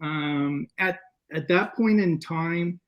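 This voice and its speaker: A man speaking as a guest filmmaker, telling stories in a casual, animated interview style. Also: fairly high pitch, slow pace, muffled recording.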